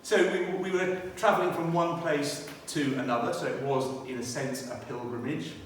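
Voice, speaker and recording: British male church speaker, testimony and scripture, thoughtful halting delivery; long reverb, faint background noise. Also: fairly high pitch, steady tone. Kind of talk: personal testimony and scripture reading. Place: inside a church. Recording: echoey church hall, distant, faint noise.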